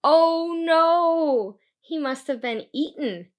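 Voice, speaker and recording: Woman playing a high-voiced, frightened young boy. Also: slow pace. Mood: panicked.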